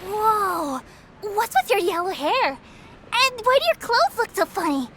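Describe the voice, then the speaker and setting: Very high-voiced curious child. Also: female voice, big pitch swings, measured pace, fairly bright tone, slight background noise, full character voice. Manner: eager, inquisitive. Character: curious, blunt, excitable little child townsfolk. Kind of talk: video game dialogue.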